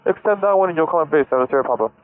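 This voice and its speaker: A man's voice: a little high, expressive, rapid-fire, no echo, faint music behind. A man, a student pilot in a small plane, making radio readbacks and talking himself through callouts on an aviation headset. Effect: aviation radio and headset intercom. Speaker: student pilot flying solo. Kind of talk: radio readbacks and self-talk callouts while flying. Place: small airplane cockpit. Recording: tinny, narrowband cockpit intercom audio.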